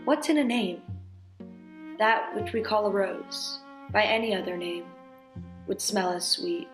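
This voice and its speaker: A woman's voice: medium pitch, a little slow, close to an everyday voice. A woman playing a worried but determined young mother in a wartime stage drama. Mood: resolute. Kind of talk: theatrical storytelling monologue and dialogue. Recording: roomy home recording with music underneath.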